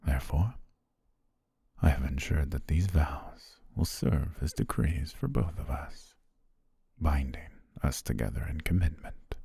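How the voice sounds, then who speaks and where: Guy acting as a super husky low possessive butler, asmr roleplay, formal n lovesick, real slow. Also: animated, no room sound, full character voice. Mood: tender, possessive. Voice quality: husky, breathy, near-whisper. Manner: formal, ornate, hushed diction.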